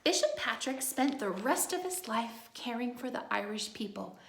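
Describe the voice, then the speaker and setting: A woman with a fairly high voice and a noticeable creak reads a children's book aloud like a teacher. She breaks from the text for simple, encouraging asides to young listeners. The room adds a clear echo around her voice. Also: big pitch swings, normal speed.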